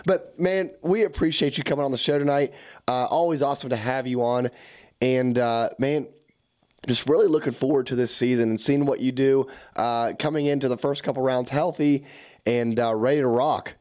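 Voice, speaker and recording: A man hosting a podcast, his voice hoarse from a cold, talking in a friendly, easygoing way. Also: medium pitch, steady tone, moderate pace, a little mellow. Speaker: podcast host. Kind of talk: casual podcast chat.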